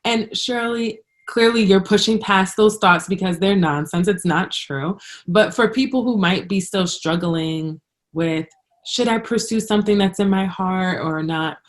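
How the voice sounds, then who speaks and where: A woman's voice: mid-range voice, a little slow. A woman in her twenties hosting a casual interview, upbeat and encouraging toward her guest. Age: 20s. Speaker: host, life coach.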